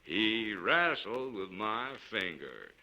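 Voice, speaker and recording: A man voicing a weather-beaten, rough frontier man, gruff but secretly tender, in a storybook reading. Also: on the low side, big pitch swings, sharp tone, highs cut off, exaggerated voice. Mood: gruff fondness. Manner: slow, drawn-out, marveling grumble.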